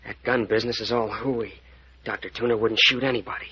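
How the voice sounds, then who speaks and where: A man's voice: on the low side, steady tone, brisk, sharp tone, breathy edge, full character voice. An actor playing an earnest, protective, suspicious young American man in an old-time radio mystery, urgent and stubborn. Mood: urgent, secretive. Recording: dull, noisy vintage radio recording.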